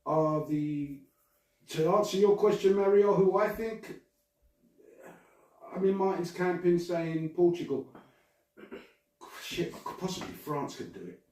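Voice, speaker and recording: A man's voice: high voice, takes it slowly, pauses often, darkish tone, recorded from a distance. Chatty man in echoey room. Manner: laughing, rambling, swears casually. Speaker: podcast host. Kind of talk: casual chat.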